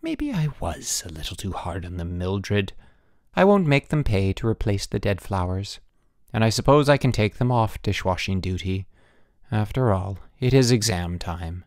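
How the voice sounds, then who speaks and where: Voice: deep voice, very animated, moderate pace, a little mellow, dry recording, studio quality. A narrator voicing a mild, henpecked middle-aged headmaster in a storybook, hesitant and mumbling. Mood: conceding.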